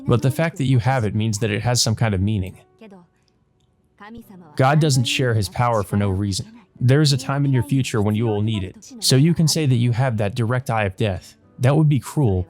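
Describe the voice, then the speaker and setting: A man's voice: mid-range voice, a little mellow, close to an everyday voice. Man voicing a kind, wise female teacher. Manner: encouraging, earnest, instructive.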